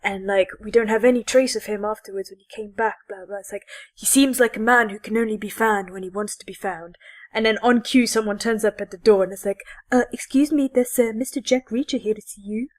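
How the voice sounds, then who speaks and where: A woman's voice: medium pitch, fairly even intonation, a little fast. A woman co-hosting a podcast, chatting casually about films in a sarcastic way and acting out imagined dialogue. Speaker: podcast co-host. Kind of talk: casual geek chat, movie review.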